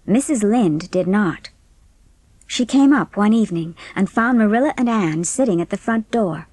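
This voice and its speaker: A woman's voice: mid-range voice, expressive, normal speed, a little mellow, no echo. A woman reading scripted narration for a dramatised audiobook. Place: dry studio.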